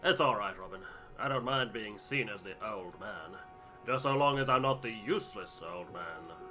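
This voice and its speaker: A man's voice: fairly low pitch, expressive, normal speed, sharp tone, faint music behind. A man voicing an ageing crewman in a game, a good-humoured father who speaks frankly and wryly about himself. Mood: wry, earnest. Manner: frank, wry, self-deprecating. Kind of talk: voiced game dialogue.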